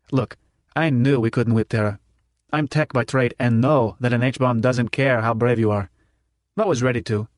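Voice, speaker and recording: A man's voice: mid-range voice, no room sound, clean studio sound. A man narrating a novel in the first person as a scripted audiobook, in clipped phrasing.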